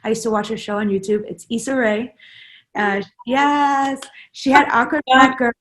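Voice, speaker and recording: A woman's voice: on the high side, fairly even intonation, moderate pace, a bit bright. A woman in her twenties, a guest in a casual interview, talking in a rambling way with many fillers and restarts. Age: 20s.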